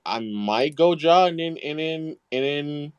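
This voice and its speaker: A man's voice: fairly high pitch, animated, a little slow, clean tone, dry recording, dull audio. Man talking emphatically with many restarts. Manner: emphatic, profane, frequent restarts. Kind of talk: casual discussion.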